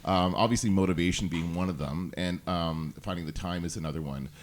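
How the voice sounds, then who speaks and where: Fast-talking loud man, self-deprecating. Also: low voice. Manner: self-deprecating, hesitant restarts. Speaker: podcast producer and guest. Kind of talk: casual podcast conversation. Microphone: studio microphone.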